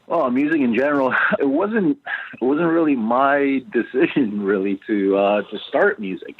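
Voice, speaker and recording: A man from Poland, a band's bassist, answering interview questions casually with many "you know" fillers over a phone line. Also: normal speed. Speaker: bassist and backing vocalist, guest. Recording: tinny phone line, dry.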